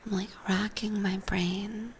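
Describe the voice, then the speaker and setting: A woman streamer whispering softly and gently in a casual ASMR chat with viewers, close on a binaural microphone. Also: medium pitch, little pitch movement, unhurried, a little hiss or hum. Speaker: streamer hosting a live ASMR roleplay stream.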